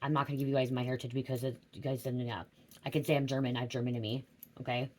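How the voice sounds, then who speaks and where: A woman's voice: a bass voice, level tone, racing, a little mellow. A middle-aged woman giving a rambling psychic reading, drifting off track, swearing freely and asking "okay?" over and over. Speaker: psychic tarot reader. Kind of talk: rambling casual livestream reading.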